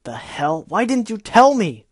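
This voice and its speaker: A man voicing an angry young female griffin who shouts and accuses, loud and blunt in every line. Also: mid-range voice, animated, moderate pace, dry recording, clean studio sound. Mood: furious.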